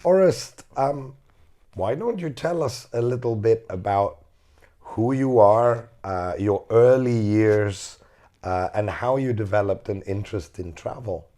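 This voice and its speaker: Hoarse man, very deep voice, mellow tone, relaxed interview host. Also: unhurried. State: hoarse from illness. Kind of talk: casual interview.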